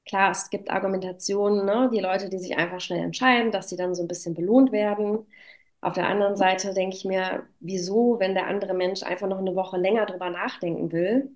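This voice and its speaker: A woman with a mid-pitched voice talks in a casual interview. She thinks aloud in a reflective way, often checking in with small tag questions. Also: fairly even intonation, brisk, darkish tone. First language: German. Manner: reflective, filler-laden with frequent "ne" tags. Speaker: interview guest, self-employed business owner. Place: at home.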